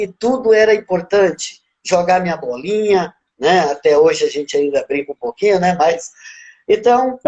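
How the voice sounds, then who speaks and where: A relaxed man in his fifties with a faint Bahian accent, speaking in a rather high voice. He reflects on his past as an interview guest, unhurried and conversational. He often tags his thoughts with little questions to the listener. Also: highs cut off. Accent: Bahian Portuguese. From Brazil, born in Minas Gerais, raised in Bahia. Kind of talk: casual life-story interview.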